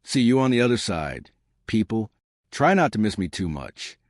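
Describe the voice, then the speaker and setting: Fierce little female starship captain, played by a male narrator. Cocky, wry, lively pitch, dry sound. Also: moderate pace, no echo. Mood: defiant, teasing. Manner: wry, confident, teasing.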